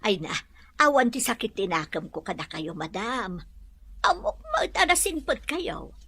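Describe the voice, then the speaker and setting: A woman's voice: a little high, very animated, a little slow, bright, forceful tone, breathy edge, full character voice. A woman playing a loyal, forgiving, motherly housemaid in an audio drama, deferential and earnest. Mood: earnest, reassuring.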